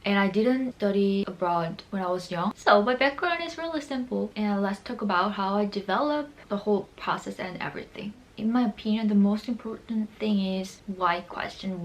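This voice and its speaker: A woman's voice: mid-range voice, rough recording. A young woman from South Korea, a native Korean speaker with a Korean accent, talking casually to her viewers as a lifestyle video creator. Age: young adult. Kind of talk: casual vlog talk to camera.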